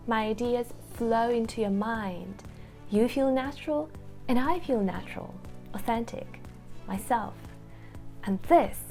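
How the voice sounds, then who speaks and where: A woman English teacher speaks in an earnest, reflective way, addressing the viewer directly. Her voice sits somewhat high, and soft music plays faintly underneath. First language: Russian. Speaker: English teacher, course founder. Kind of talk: scripted promotional talk to camera.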